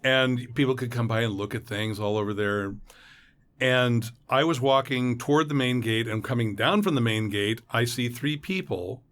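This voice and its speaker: A middle-aged man chats as an interview guest. He is an animated storyteller with a medium-pitched voice, slipping into quoted characters as he goes. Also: moderate pace. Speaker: podcast guest, voice actor and former impersonator. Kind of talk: anecdotal interview chat.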